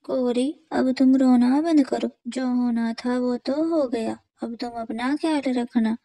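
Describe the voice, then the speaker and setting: A woman voicing a kind young female sparrow who talks in a lively, concerned way. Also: fairly high pitch, measured pace, gravelly.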